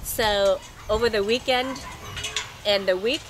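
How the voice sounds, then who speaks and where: A middle-aged woman with a scratchy, hoarse voice, rambling casually in a voice-over for her travel vlog. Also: medium pitch, slow pace. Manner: rambling, chatty, loud talker. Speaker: vlogger.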